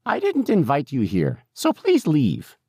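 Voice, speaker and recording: Indignant elderly nursing-home woman, played by a male narrator: high, drawn-out, stubborn and confused. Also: wildly swooping pitch, breathy edge, no room sound, clean studio sound, heavily acted. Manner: loud, stubborn, drawn-out protests.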